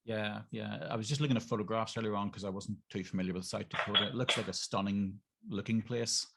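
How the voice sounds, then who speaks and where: A man's voice: lowish voice, steady tone, quick pace, gravelly, heavy background noise. An Irish man hosting an interview in a jovial, chatty way. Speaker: radio host. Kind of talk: casual interview chat.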